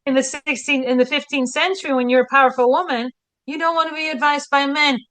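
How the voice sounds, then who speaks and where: Bright, lively Spanish-accented woman historian. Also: high voice, fast talker. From Spain. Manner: animated storyteller, rhetorical questions, acts out quotes. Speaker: historian co-host. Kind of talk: casual podcast chat.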